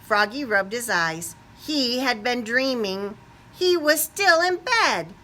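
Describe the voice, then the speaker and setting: A woman reading a picture book aloud to young children expressively, pausing to put questions to them. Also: fairly high pitch, heavy background noise. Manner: animated, asks the young listeners questions.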